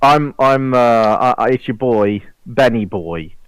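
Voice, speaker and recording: A man's voice: medium pitch, animated, unhurried, smooth, dry recording. Dry-sounding man bantering on a podcast. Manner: self-deprecating, sweary. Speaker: podcast panelist. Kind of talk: casual joking banter.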